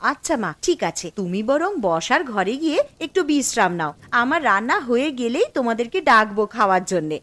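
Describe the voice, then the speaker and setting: A woman's voice: fairly high pitch, sing-song, brisk, fairly bright tone. A woman voicing an insistent, nagging young village wife in a moral cartoon. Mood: worried, explaining. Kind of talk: Bengali moral cartoon dubbing.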